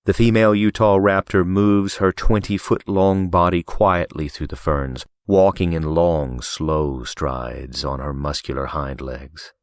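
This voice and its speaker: A man's voice: sits low, fairly even intonation, a little slow, hardly any pauses, no echo. A man reading scripted audiobook narration as a professional narrator, close on a studio mic.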